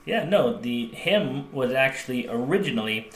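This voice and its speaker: Podcast co-host, male, bright voice, joking deadpan delivery, echoey room. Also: mid-range voice, moderate pace. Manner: joking, laughing, deadpan bits. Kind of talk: casual comedic podcast chat.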